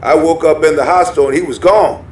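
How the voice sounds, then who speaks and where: An actor playing a wild, paranoid conspiracy researcher in hiding in an audio drama, blunt and ominous. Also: male voice, a little high, fairly quick, darkish tone, a little hiss or hum, close to an everyday voice. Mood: agitated, defensive. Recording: music behind the voice.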